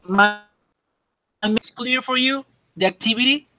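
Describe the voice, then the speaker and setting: A man teaching English, dictating slowly and checking often that students understand. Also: very high voice, pushed and bright, no echo, soft background music. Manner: slow and repetitive, checking understanding. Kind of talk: classroom dictation lesson. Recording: dull lo-fi classroom recording.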